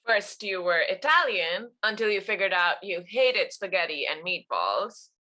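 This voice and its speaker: A woman's voice: mid-range voice, big pitch swings, a little slow, bright, forceful tone, poor audio. A woman playing a sarcastic, exasperated grown daughter, dry and incredulous, at a screenplay table read.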